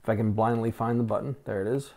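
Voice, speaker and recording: A man's voice: lowish voice, fast, creaky. A man in his forties hosting a vintage computer channel, talking casually through a hands-on restore at a desk in a small room, wearing a lapel mic, with lots of "uh" fillers. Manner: relaxed, chatty, frequent "uh" fillers. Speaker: vintage tech channel host. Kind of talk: casual hands-on tech walkthrough.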